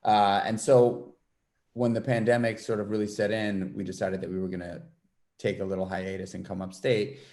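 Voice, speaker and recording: A man with a lowish voice hosts a casual podcast interview. He rambles easily and leans on fillers as he talks. He is a New York City native. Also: little pitch movement, normal speed, a little mellow. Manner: loose and rambling, full of fillers. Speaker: podcast host.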